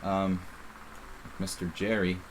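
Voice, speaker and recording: A middle-aged man with a faint Philadelphia accent and a fairly low voice talks through a chess game as he plays. He rambles casually and breaks off his own sentences to restart them. A faint hiss of noise sits under the voice. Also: flat delivery, slow pace, poor audio. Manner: casual, rambling, self-interrupting. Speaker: chess streamer. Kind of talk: live chess commentary.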